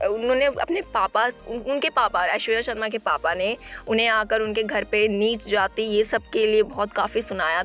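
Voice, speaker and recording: Female gossip presenter, high-pitched, informal retelling with frequent self-corrections over background music. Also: a bit bright. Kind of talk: informal celebrity news narration.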